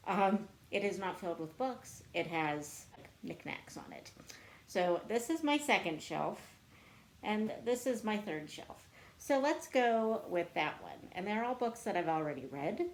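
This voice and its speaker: Slow-paced woman chatting casually. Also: mid-range voice, expressive, a little hiss or hum. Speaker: book vlogger. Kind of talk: casual chat to camera answering book tag questions.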